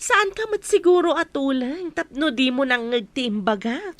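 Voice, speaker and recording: An actress playing a cruel, bossy captor with an Ilocano Filipino accent in a radio drama, sharp and commanding. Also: female voice, high voice, animated, measured pace, no room sound. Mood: menacing, scornful. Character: cruel bossy woman guarding a bound captive maid.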